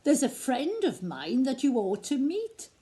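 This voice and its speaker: A tiny clever mouse coaxes and schemes in a high, sly voice, as a woman reading aloud puts him on. He speaks slowly with a soft, mellow tone. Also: wildly swooping pitch, exaggerated voice. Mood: urgent.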